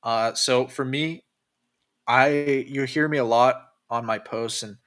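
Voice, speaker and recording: Casual young male streamer, late-night and loose, tense bright tone, dead-dry close mic. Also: mid-range voice, fairly even intonation, takes it slowly, dry recording. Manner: loose and profane, with many fillers and restarts. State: tired from staying up late. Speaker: crypto livestreamer reading chat. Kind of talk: casual livestream chat.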